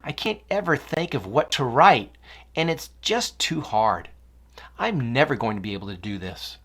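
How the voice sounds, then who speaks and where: A woman's voice: a bass voice, big pitch swings, moderate pace, fairly bright tone, husky, full character voice. A woman voicing an anxious, discouraged young schoolboy in a children's story, hesitant and unsure of himself. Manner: glum, hesitant.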